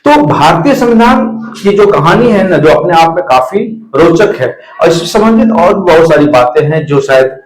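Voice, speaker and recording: Male explainer presenter, slightly high pitch, emphatic teaching delivery, roomy echoing sound. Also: very animated, moderate pace, hardly any pauses. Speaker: video presenter. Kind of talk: explainer talk to viewers. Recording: echoey room.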